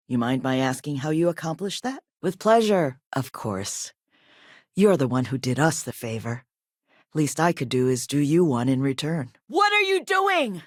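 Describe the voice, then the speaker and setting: A woman acting as a poised, quietly assertive company chief executive in a video game, polished, measured and courteous but firm. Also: sits low, dry recording, clean studio sound. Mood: alarmed shouting.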